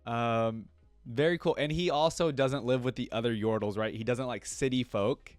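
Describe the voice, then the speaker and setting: A man's voice: mid-range voice, normal speed, dry recording, faint music behind. A man giving casual, amused commentary as the host of a reaction video. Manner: amused, repeats lines he likes.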